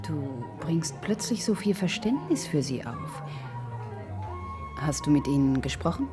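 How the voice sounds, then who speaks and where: A woman's voice: low voice, very animated, a little slow, music in the background. An actress playing a dismissive, comfort-loving woman in a German science-fiction radio play, speaking emphatically and impatiently. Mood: reproachful. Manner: emphatic, impatient, scornful sighing exclamations. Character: dismissive, comfort-loving woman Pat from an orderly future society.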